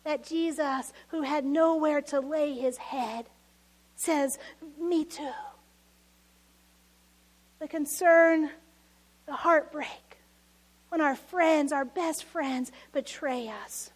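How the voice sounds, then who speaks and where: A woman's voice: high-pitched, slow pace, long pauses. A woman with a Texas accent preaching a sermon. She is earnest and emphatic, and sometimes drops her voice almost to a whisper. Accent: Texan.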